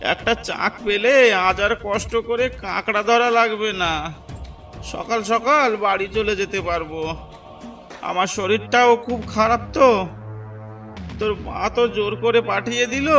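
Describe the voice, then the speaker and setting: A man's voice: high-pitched, vocal fry, bright, forceful tone, distant mic, full character voice. A man playing a poor crab-catching village father in a cartoon, scolding yet reassuring. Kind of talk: cartoon story dialogue.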